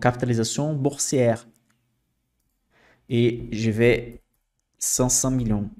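Young guy, teaching stock filters on screen share, steady mid voice, lots of "ok?". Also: medium pitch. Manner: explanatory, frequent "ok?" checks and fillers. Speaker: investing channel host. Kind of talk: screen-share finance tutorial.

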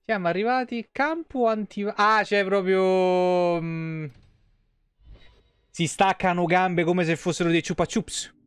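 A male streamer chats casually over a game. His voice is on the high side for a man and sounds dry and close, with an informal manner. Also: fairly even intonation, slow, clear, resonant tone, dry recording. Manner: informal, chatty, swears. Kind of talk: casual live gameplay commentary. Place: small indoor room.

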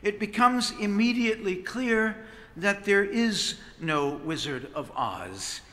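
A middle-aged man, a priest, preaching a sermon from a pulpit microphone with expressive gestures. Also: high voice, slow pace. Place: large stone church, at the pulpit. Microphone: pulpit microphone on a stand. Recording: long church reverb.

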